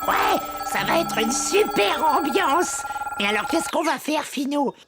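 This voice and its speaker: A dopey, easily impressed little goblin henchman from a cartoon. The voice is high and goofy, always eager to follow the boss, with music clearly playing behind. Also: very animated. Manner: slow-witted, goofy, echoes his boss. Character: dim-witted male goblin sidekick, eager and easily impressed. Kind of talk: French cartoon dubbing.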